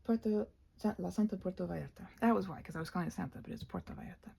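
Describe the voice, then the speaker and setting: A woman chatting in a rambling ASMR craft-video style, thinking aloud in a scattered way, with a breathy voice that often slips into a whisper. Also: lowish voice, rapid-fire, warm tone. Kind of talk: rambling ASMR craft chat while painting.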